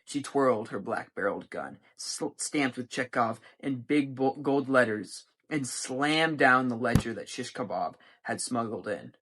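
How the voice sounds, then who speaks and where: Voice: mid-range voice, animated, a little slow, few pauses. A teenager from the United States with an American accent, giving a dramatic scripted reading of a short story. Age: teens. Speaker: young writer reading own story.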